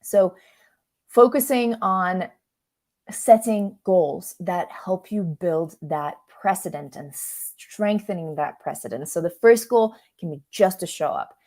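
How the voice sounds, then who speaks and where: A woman's voice: fairly low pitch, slow pace, darkish tone. A woman from Russia, a native Russian speaker, presenting an online coaching workshop in a conversational, informal way, often interrupting herself and checking in with listeners. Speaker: career coach, workshop host.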